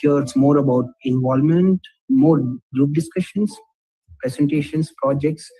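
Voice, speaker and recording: A man's voice: on the high side, normal speed, warm tone, no room sound, faint music behind, rough recording. A young man giving a personal testimonial as a student living abroad. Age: young adult.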